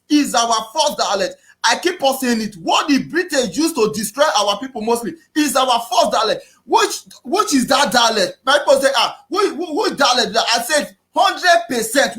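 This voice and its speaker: Nigerian man giving a loud impassioned talk. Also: very high voice. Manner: preachy, repetitive, often shouting. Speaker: activist speaker.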